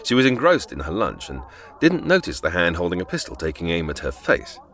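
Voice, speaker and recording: A man's voice: low-pitched, wildly swooping pitch, a little fast, a bit bright, faint music behind, heavily acted. A man playing a suave, courteous, observant leading man in an audio drama. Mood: wry, storytelling. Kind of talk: audio drama narration and acting.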